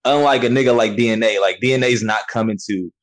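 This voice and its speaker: A young man, a battle rapper, talking about his start in a relaxed, slangy way, often saying "you know what I'm saying". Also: medium pitch, fast talker. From United States. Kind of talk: casual interview chat.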